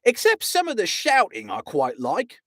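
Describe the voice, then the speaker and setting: A man voicing a huge, dim young male alien guard who shouts a stock phrase in loud barks and turns slow and puzzled when questioned. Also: high voice, huge pitch swings, dry recording, clean studio sound, pitched above the performer's own voice, full character voice.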